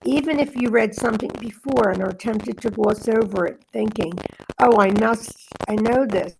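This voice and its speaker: A woman's voice: medium pitch, vocal fry. A woman leading a study group, moving between reading aloud and casual, conversational asides. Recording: noisy background with clicks.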